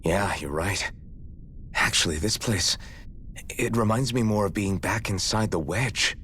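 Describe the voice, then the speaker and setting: A man's voice: lowish voice, unhurried, bright, forceful tone, a little hiss or hum. An actor playing an earnest, serious young hero who leads his party in a video game. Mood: resolute. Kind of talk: video game dramatic acting. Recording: game music under the voice.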